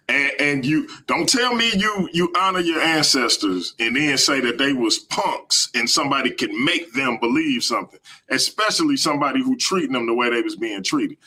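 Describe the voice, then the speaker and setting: Bright-voiced American man hosting a live stream. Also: mid-range voice. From United States. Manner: emphatic, colloquial, argues point by point. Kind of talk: casual live-stream interview talk.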